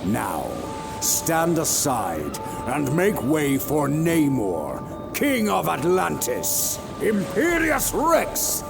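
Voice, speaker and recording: A man's voice: fairly high pitch, huge pitch swings, very slow, creaky, over music, heavily acted. A man playing a proud, imperious warrior king of Atlantis, speaking in a grand, formal, commanding manner.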